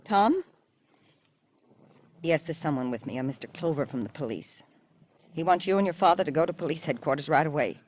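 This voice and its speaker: An actress playing a haughty, impatient middle-aged American wife, scornful and evasive, in an old-time radio drama. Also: female voice, deep voice, expressive, a little fast, pauses often, a little mellow, a little hiss or hum. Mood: flustered, urgent. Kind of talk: 1940s-50s American radio drama acting. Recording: vintage radio recording.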